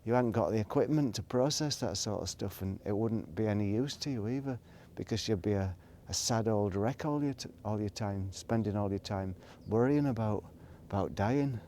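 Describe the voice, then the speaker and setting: A man's voice: lowish voice, moderate pace, few pauses, mellow, a little hiss or hum. A middle-aged man, rambling reflectively in a casual solo monologue, hesitant and thinking aloud as he goes. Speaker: solo video blogger.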